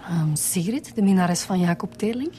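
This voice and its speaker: An actress playing a businesslike Flemish homicide detective reporting forensic findings in a radio drama. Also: female voice, fairly low pitch, animated, normal speed, warm tone, lightly acted. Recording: music behind the voice.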